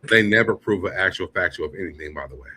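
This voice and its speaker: A man's voice: deep voice, expressive, fast talker, sharp tone, breathy edge. A man hosting a show, giving casual, blunt and profane live commentary between clips. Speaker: show host.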